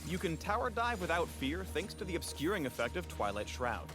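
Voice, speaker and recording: Animated, higher-pitched male game narrator. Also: fairly quick. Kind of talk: upbeat scripted narration of game tips. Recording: music bed under the narration.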